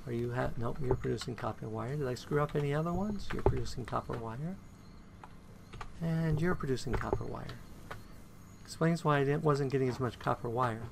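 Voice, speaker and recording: A man giving casual gameplay commentary on his own play, a bit croaky today, counting items aloud and humming to himself. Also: medium pitch, fairly quick, noisy background. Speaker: game streamer.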